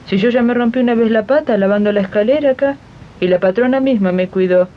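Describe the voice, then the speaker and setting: A woman's voice: fast talker, lightly acted. A woman playing a proud, naive, ageing housemaid in a radio drama, with a homely manner and an Argentine accent. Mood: proud, indignant. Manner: dismissive, homely, indignant. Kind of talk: 1980s amateur radio drama acting. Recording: dull, rumbling archive radio tape.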